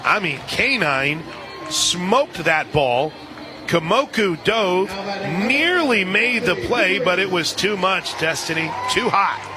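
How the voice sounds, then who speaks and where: A man calling a softball game live as a radio play-by-play announcer, in a folksy, chatty way with listeners. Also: high-pitched, animated, takes it slowly, music in the background. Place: outdoor softball stadium. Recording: loud crowd and outdoor stadium noise. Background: crowd noise, outdoor ambience.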